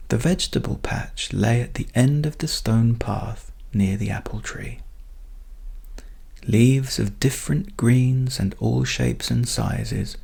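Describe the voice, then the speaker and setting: A man narrating a bedtime story as a calm, gentle storyteller in a soft, soothing manner. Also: medium pitch, vocal fry, slightly rough.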